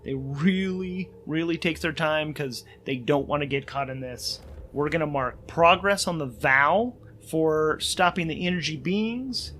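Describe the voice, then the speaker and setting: A man playing a solo role-playing game, thinking aloud and narrating haltingly, repeating phrases as he reasons things out. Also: on the high side, bright, forceful tone, a little hiss or hum.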